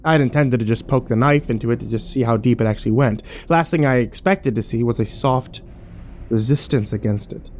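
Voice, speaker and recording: A man's voice: mid-range voice, a little fast, a little mellow, slight background noise, close to an everyday voice. A man playing a troubled, wary survivor who narrates his ordeal in a brooding first-person horror drama.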